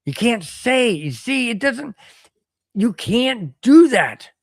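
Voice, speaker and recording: A man hosting a solo podcast stream, ranting animatedly and repeating himself while his voice wears hoarse. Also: very high-pitched, sing-song, takes it slowly, no room sound, studio quality. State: voice getting hoarse. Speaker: podcast host. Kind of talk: solo livestream rant reading chat.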